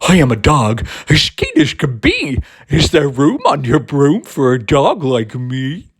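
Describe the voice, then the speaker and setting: A man voicing a keen, friendly male dog in a children's storybook, loud and bright. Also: on the high side, wildly swooping pitch, measured pace, breathy edge, full character voice. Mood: eager.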